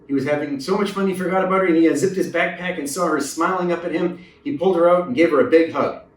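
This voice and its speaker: A man's voice: on the high side, fast talker. A man reading a children's story aloud as a narrator, recorded in a roomy space away from the mic. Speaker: storybook reader. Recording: roomy echo, distant mic.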